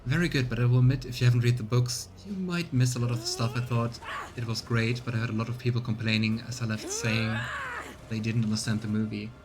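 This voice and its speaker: A man's voice: mid-range voice, fairly even intonation, fast talker. A man giving casual let's play commentary and reading game text aloud. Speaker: streamer. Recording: clear background noise.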